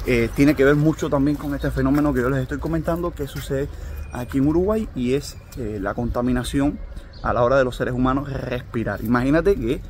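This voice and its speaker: A man's voice: mid-range voice, a little fast, darkish tone, a little hiss or hum. A man from Cuba with a Cuban accent, chatting casually to his viewers in a vlog, calling them family. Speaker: vlogger.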